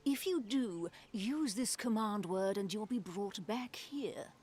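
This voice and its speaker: An actress playing a composed, practical military captain in a fantasy video game, instructive and matter-of-fact. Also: female voice, mid-range voice, lively intonation, slow, darkish tone, slightly rough. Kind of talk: video game cutscene acting.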